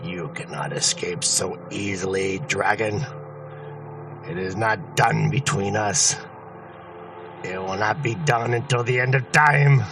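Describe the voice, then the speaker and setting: A man's voice: lowish voice, slow pace, recorded from a distance, background noise, pitched above the performer's own voice. A man voicing a vengeful, contemptuous villain in a theatrical fantasy book reading. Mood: menacing rage. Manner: menacing, theatrical.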